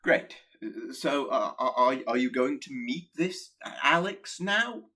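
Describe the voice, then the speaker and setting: A man's voice: mid-range voice, animated, takes it slowly, pushed and bright, exaggerated voice. A man playing an anxious, pleading personification of plague in a radio play, hesitant and stammering. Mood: awkward, relieved.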